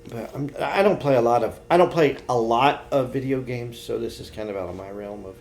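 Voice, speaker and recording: A man's voice: mid-range voice, brisk, darkish tone. Blunt man in casual conversation. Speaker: podcast co-host. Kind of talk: casual chat about pop culture.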